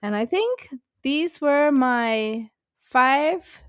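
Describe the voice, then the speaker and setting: A woman hosts a podcast in a friendly, chatty manner. Her voice sits fairly high and sounds close and dry, with no room around it. Her phrasing is loose and conversational. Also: lively intonation, very slow, clean tone, dry recording. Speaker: podcast host. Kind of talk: podcast hosting and interviewing. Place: Texas, indoors.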